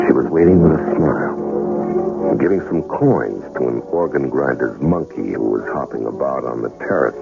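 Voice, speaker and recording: An actor playing a troubled, wary hired killer in a 1970s radio drama, with a brooding, dramatic delivery. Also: male voice, a bass voice, gravelly, exaggerated voice. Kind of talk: 1970s radio drama acting and first-person narration. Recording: muffled old radio broadcast with music.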